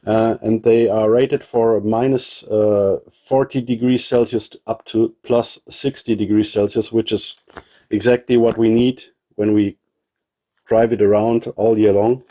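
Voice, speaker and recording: Hobbyist man, German accent, halting show-and-tell delivery, darkish tone. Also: lowish voice, fairly even intonation, dark tone, highs cut off. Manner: halting, with many ums and restarts. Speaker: ham radio hobbyist showing his gear. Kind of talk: casual show-and-tell walkthrough.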